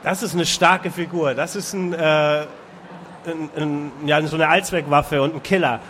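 A man interviewing a guest in casual talk, asking halting questions with many filler sounds. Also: fairly high pitch, fairly even intonation, fairly bright tone, slight background noise.

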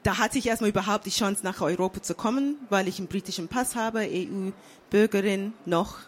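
A woman born and raised in London, speaking as a guest on a panel, thoughtful and pausing often with fillers. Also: mid-range voice. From England. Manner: thoughtful, many fillers and restarts. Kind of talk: panel discussion.

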